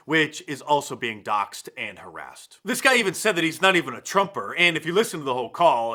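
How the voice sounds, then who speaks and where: A man's voice: on the high side, sharp tone. A man hosting political commentary in a sarcastic, mocking and emphatic way. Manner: mocking, emphatic, chuckles through points.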